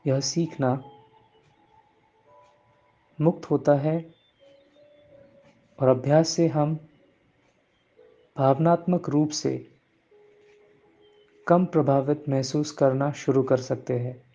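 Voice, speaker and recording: Male meditation guide, very slow measured delivery with long pauses, relaxed mellow tone, music bed. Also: medium pitch. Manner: repeats some phrases in English. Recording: dull lo-fi sound, music bed. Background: background music.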